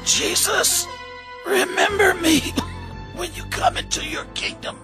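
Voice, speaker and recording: An actor playing a dying, repentant criminal in a biblical audio drama, weak and gasping in agony. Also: male voice, very high voice, steady tone, takes it slowly, creaky, full character voice. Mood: humble pleading. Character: dying, repentant crucified criminal, humble and pleading. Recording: prominent music bed.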